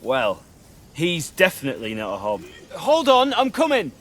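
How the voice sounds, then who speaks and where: A man's voice: fairly high pitch, huge pitch swings, moderate pace, fairly bright tone. A man voicing a sarcastic young hero in a game, with a wry, offhand manner. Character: sarcastic young male hero driving a cart. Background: music.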